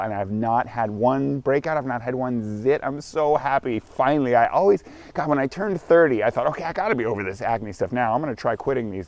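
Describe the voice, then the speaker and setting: A man's voice: medium pitch, darkish tone. Rambling candid man in his forties. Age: 40s. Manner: rambling, self-correcting, candid. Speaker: vlogger. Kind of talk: casual self-recorded vlog. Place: outdoors in morning sunshine.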